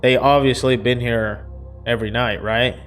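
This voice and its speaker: A man's voice: mid-range voice, fairly even intonation, normal speed, fairly bright tone, soft background music. A man reading a visual novel aloud as narration, breaking off for casual commentary.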